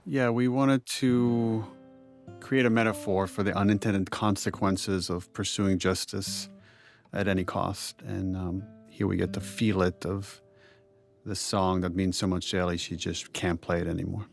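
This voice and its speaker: A man's voice: deep voice, level tone, unhurried, creaky. A man speaking casually and reflectively as a game developer giving commentary on cutscenes, with guitar music behind him.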